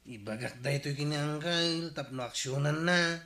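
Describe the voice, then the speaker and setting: A man's voice: a little high, measured pace, sharp tone, echoey room. An actor playing a concerned village man in an Ilocano comedy radio drama, with an Ilocano Filipino accent. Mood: reporting.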